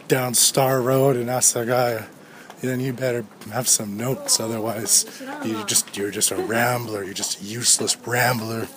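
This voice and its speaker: Male podcast host, thirties, sardonic profane rambler, mid pitch, recorded walking outdoors amid heavy traffic noise. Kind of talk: solo rambling podcast rant. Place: outdoors on a street. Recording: street noise with passing traffic.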